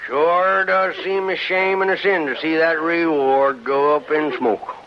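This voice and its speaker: A man acts out a wary, grasping frontiersman in a 1950s radio western. He talks in a rural Western American backwoods drawl, pitched a little high, on a muffled recording with faint noise. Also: steady tone, measured pace, lightly acted. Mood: wary bargaining. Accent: rural American West. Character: folksy, greedy, wary Old West frontier man.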